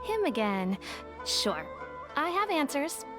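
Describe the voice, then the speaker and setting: A woman voicing a shrewd, businesslike female detective with a smooth, sly manner. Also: high voice, very animated, takes it slowly, heavily acted. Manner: smooth, sly, deal-making. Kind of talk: game voice acting. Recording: game audio under music.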